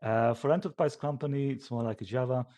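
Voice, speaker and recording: A man, a developer informally answering questions after a talk in a hesitant, halting way, as if thinking aloud. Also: mid-range voice, dark tone. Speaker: software developer giving a conference talk.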